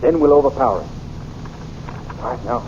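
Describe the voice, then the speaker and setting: A man's voice: medium pitch, a little fast, highs cut off, noisy background, natural voice. A man playing a confident young hero in an old radio serial, clipped and commanding. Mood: heroic. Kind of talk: 1930s radio acting.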